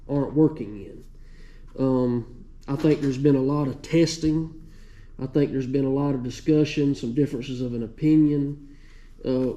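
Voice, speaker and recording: A plain-spoken male committee member making informal, halting remarks at a meeting, on a dull, muffled recording. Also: mid-range voice, dark tone. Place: indoor committee meeting room.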